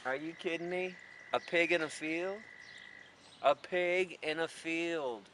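Emphatic American man outdoors with clear background noise. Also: on the high side, slow. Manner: emphatic, repetitive, full of rhetorical questions. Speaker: animal rights advocate presenting a farm sanctuary. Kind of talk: informal on-camera commentary. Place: open farm field outdoors. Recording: noisy outdoor farm location sound.

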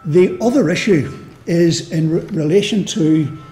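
A Northern Irish man speaking on a conference panel in a slightly higher, mellow voice. He talks in a measured way and often restarts his phrases. The sound carries an echoey hall. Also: soft background music. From Northern Ireland. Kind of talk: panel discussion answer. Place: lecture hall.

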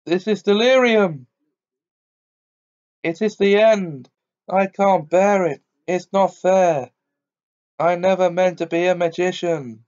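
A fussy old gentleman wails and protests in a high, trembling voice, as a man puts him on. He sounds panicky and self-pitying in this storybook reading. The recording is dull and lo-fi. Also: takes it slowly, pauses often. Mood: panicked. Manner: trembling, wailing.

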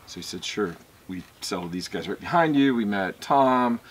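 A middle-aged man, a winemaker, tells his story in a relaxed, meandering way on an outdoor vineyard patio, picked up by a camera mic a few metres off. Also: fairly low pitch, normal speed, slightly rough. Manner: easygoing, meandering, with fillers and laughs.

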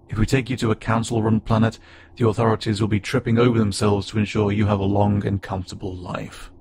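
A man voicing a friendly, curious, slightly awkward alien spacefarer in a conversational audio roleplay, speaking haltingly and wryly. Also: lowish voice, creaky, background noise. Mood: reassuring, earnest. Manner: casual, halting, gently wry. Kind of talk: conversational audio roleplay acting to an unheard listener.

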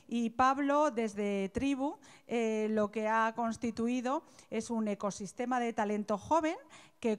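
A woman's voice: mid-range voice, clear, resonant tone. A young woman presenting an event and introducing a guest speaker to students, speaking with frequent hesitations in a university auditorium. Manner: hesitant, many fillers.

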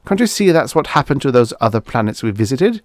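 Anxious male explorer for a science fiction storybook man performing: alarmed, insistent, higher voice with animated swings. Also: fast talker, no echo, studio quality, lightly acted. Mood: desperate, alarmed. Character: anxious, urgent, pleading male space explorer.

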